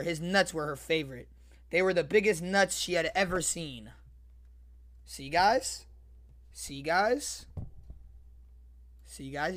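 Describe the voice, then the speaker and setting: A young man reading aloud casually on a livestream, swearing, slangy and repeating his phrases in an animated way. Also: high voice. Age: young adult. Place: small bedroom, indoors. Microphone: close desk microphone with headset.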